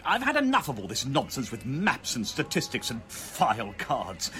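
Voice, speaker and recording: A man's voice: medium pitch, wildly swooping pitch, moderate pace, bright, forceful tone, soft background music. A man playing a blustering, old-fashioned police inspector with an English accent, flustered and emphatic, in a comic radio drama. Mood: indignant.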